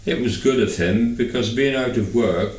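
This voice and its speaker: A man's voice: mid-range voice, moderate pace, darkish tone. A man reading a first-person novel aloud as scripted narration. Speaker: audiobook reader. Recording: echoey room, distant microphone.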